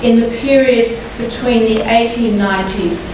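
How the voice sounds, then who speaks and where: An older Australian woman with a croaky voice gives a formal public lecture in a measured, deliberate way, pausing often to hesitate. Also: a little high. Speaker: guest lecturer. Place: large lecture hall. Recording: echoey hall, mic far off, noisy.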